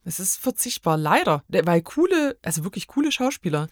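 A woman's voice: on the low side, sing-song, brisk, dry recording, clean studio sound. A woman speaking as a podcast guest in casual, informal conversation with slangy wording. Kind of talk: casual chat.

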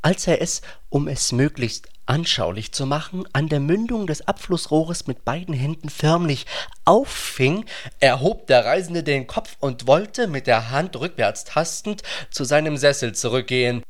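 A man's voice: on the high side, animated, measured pace. A man reading scripted literary narration as a narrator in a German radio play.

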